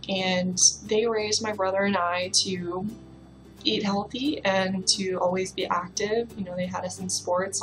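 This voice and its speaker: A young woman from the Bahamas who works as a fitness trainer tells her own story straight to the camera, easygoing and reflective, leaning on plenty of fillers. Her voice sits at a middle height, and clear music plays under her. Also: medium pitch, rough recording. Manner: casual and reflective, with many fillers.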